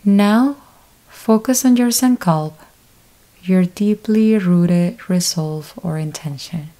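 A woman guides a deep-rest meditation, speaking very slowly with long pauses between short instructions. Her voice is low-pitched and mellow, with a relaxed, unhurried delivery throughout. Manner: slow, calm step-by-step instructions with long pauses. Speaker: yoga nidra teacher.

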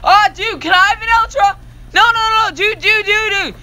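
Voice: very high-pitched, moderate pace, sharp tone, a little hiss or hum. A young-sounding voice acting out informal, repetitive, exclamatory dialogue for a homemade game roleplay. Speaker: voice actor in a self-made Minecraft roleplay. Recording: music behind the voice.

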